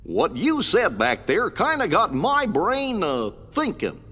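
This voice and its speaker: A man's voice: high voice, wildly swooping pitch, takes it slowly, gravelly, bright, forceful tone, husky, heavily acted. A man voicing a gangly, good-natured cartoon dog in a video game, folksy and earnest with a goofy drawl. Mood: earnest, encouraging. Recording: long reverb, music behind.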